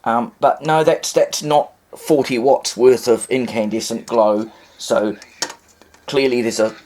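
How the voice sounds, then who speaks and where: A man talks through a hands-on demonstration in a casual way. He rambles and often hesitates. His voice sits at a medium pitch. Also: fairly even intonation, a little slow, a little mellow. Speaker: vintage radio hobbyist presenter.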